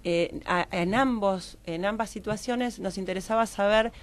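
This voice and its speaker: A woman's voice: mid-range voice, moderate pace. A woman with a Rioplatense Argentine accent speaking as a radio guest, explaining research and often restarting to rephrase. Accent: Rioplatense Argentine Spanish. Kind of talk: explanatory radio interview talk. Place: radio studio table.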